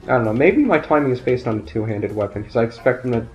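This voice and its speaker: Congested man giving calm game commentary. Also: medium pitch, quick pace. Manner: calm, explanatory, thinking aloud. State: nasal and raspy from a sinus infection. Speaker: let's play commentator. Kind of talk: gameplay commentary. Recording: dull lo-fi audio, faint game music.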